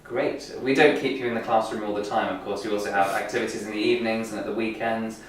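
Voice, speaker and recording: A man's voice: fairly low pitch, fairly even intonation, fast talker. A man from the programme staff interviewing students, prompting them with friendly questions. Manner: friendly, prompting, brief acknowledgements. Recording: echoey room, distant mic, faint noise.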